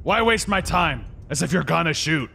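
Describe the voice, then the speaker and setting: Cartoon old scientist grandfather: high, croaky, arrogant, brash and stammering. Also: animated, moderate pace, sharp tone, slight background noise, full character voice. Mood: smug, scornful. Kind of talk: animated comedy dialogue.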